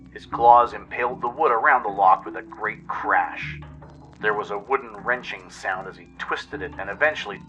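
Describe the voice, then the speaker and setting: Male radio-play narrator, first-person storytelling, very sharp forceful tone over a music bed. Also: on the low side, dull audio.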